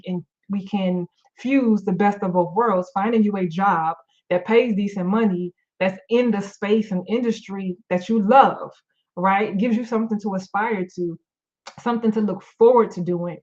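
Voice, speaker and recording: A woman's voice: mid-range voice, fairly even intonation, normal speed, darkish tone, highs cut off. Tired woman coach losing her voice. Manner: candid, conversational, many fillers and restarts. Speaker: life coach. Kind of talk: live-stream motivational talk.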